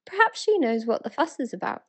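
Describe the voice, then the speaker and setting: A British woman playing an eager, wonder-struck townswoman in a dramatic verse reading, earnest and excited. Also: high voice, fairly quick, breathy edge, heavily acted. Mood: excited, insistent.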